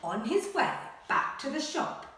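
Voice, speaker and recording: A woman primary school teacher speaking to children and reading a picture book aloud in a dramatic, expressive way. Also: high voice, slight background noise. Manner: dramatic, expressive, with gasps and suspenseful pauses. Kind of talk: animated children's story reading and lesson. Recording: very echoey room, slightly distant mic.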